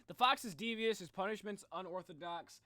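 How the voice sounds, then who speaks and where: A streamer reacting live in casual, slangy, excitable commentary. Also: medium pitch, a little fast, slight background noise.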